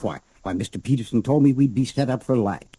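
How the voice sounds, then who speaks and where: An actor playing a good-natured, hopeful, evasive small-town husband, folksy and placating, with an American accent in 1940s radio drama. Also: male voice, medium pitch, fast, mellow, slightly rough, dry recording, lightly acted. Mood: defensive, eager.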